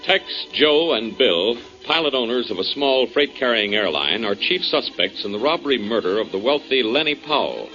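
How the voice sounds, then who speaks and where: A man's voice: mid-range voice, big pitch swings, moderate pace, few pauses, over music. A man announcing and narrating a 1940s radio drama in a scripted, dramatic style. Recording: dull 1940s radio recording.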